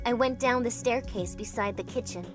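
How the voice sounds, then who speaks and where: A woman acts out an anxious yet curious young heroine narrating a visual novel. Her voice sits on the high side and moves in measured, introspective phrases. Music plays prominently behind her scripted first-person account. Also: natural voice. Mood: musing. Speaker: narrating character in a fan dub of a visual novel.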